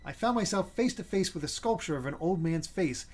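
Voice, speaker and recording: A man's voice: high voice, expressive, brisk, a little mellow. A man co-hosting a game stream, reading the story aloud as narrator with casual asides. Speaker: guest co-host of a game stream. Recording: clear background noise.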